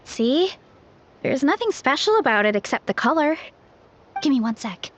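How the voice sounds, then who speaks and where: High, lively, cheerful young woman. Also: normal speed, a little hiss or hum. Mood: upbeat, reassuring. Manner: upbeat, friendly, casual. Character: cheerful, easygoing young woman who works an orchard. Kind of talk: English video game voice acting.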